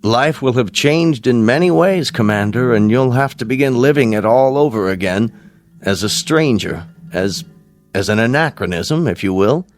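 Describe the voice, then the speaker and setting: Precise, paternal space scientist, male actor, American, middle-aged, mid-pitched, deliberate radio-drama delivery over music. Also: expressive, lightly acted. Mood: candid, fatherly. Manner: deliberate, formal, emphatic. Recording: music behind the voice.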